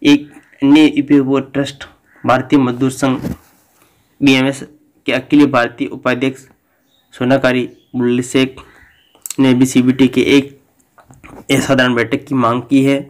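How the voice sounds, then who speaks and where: Flat-voiced man reading pension news. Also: mid-range voice, a little slow, long pauses. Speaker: news channel host. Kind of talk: read-out news bulletin.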